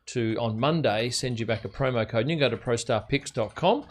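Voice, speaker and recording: A man's voice: medium pitch, brisk. An older Australian man hosting a casual livestream, chatting and commenting on a race in a matey, friendly way. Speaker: livestream host.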